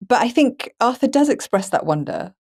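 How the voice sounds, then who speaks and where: A woman hosting a conversational film podcast, chatty and animated in the way she tells things. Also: fairly high pitch, moderate pace. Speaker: podcast host and moderator.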